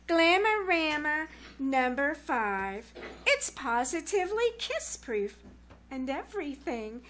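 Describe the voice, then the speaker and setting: A woman's voice: high voice, fairly bright tone, exaggerated voice. A woman playing a gushing, bossy teenage girl in a live 1940s-style radio comedy, with theatrical, emphatic delivery. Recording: live small-theater stage recording, background noise.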